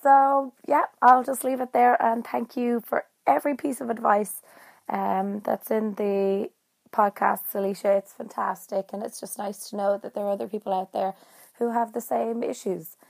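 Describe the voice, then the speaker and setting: A woman's voice: fairly high pitch, level tone, normal speed, vocal fry, darkish tone. A woman with an Irish accent, speaking casually as a listener leaving a personal voice message.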